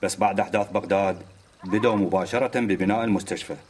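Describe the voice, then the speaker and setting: Casting: sly, stocky Iraqi haggler, native Arabic speaker, lowish pitch, extremely quick delivery, radio drama. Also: male voice, fairly even intonation, slightly rough. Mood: informative. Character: short, stocky, sly Iraqi man bargaining over money.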